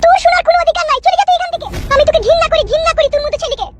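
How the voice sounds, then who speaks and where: A woman voicing a wronged, indignant young sweetheart in a comedy cartoon, shrill and accusing. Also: rapid-fire. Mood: furious, scornful. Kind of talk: Bengali comedy cartoon dub.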